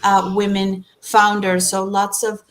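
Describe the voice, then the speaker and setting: A woman's voice: medium pitch, completely flat delivery, slow pace. A woman from Chile, a guest on a webinar interview, talking about her work with startup founders in an upbeat, eager way. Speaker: guest speaker, startup ecosystem builder.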